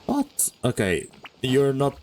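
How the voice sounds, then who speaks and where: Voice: medium pitch, wildly swooping pitch, slow, a little mellow, a little hiss or hum. A streamer playing a game and giving casual, informal, rambling commentary.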